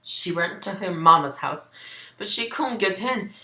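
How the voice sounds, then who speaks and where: A woman's voice: on the low side, expressive, unhurried, bright, forceful tone. A woman giving a mocking amateur dramatic reading of fan fiction, breaking off into sarcastic asides. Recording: roomy, echoing home recording.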